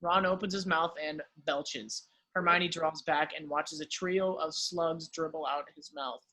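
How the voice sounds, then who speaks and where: Husky man reading stage directions. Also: a little high, steady tone, a little slow, sharp tone, low-quality recording. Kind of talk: scripted narration at a table read.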